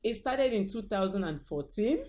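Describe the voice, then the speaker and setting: A woman's voice: mid-range voice, animated, normal speed, a little mellow. Cameroonian woman in her thirties. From northwest Cameroon. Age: 30s. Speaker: guest, fashion designer and business founder. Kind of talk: interview answers about own experience. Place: indoor studio.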